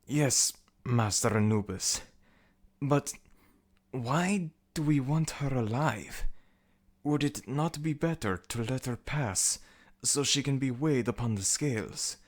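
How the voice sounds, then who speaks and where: A man playing a deferential, doubtful embalmer's assistant serving a god of the dead in an ASMR audio roleplay, with a slow, questioning manner. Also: mid-range voice, animated. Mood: doubtful, submissive.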